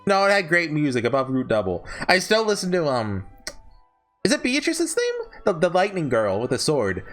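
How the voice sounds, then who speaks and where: Guy doing casual tier list commentary, kinda high voice, pitch jumps all over, halting and rambly, faint music behind. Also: huge pitch swings, fairly bright tone. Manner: rambling, halting, self-correcting. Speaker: video host ranking visual novels.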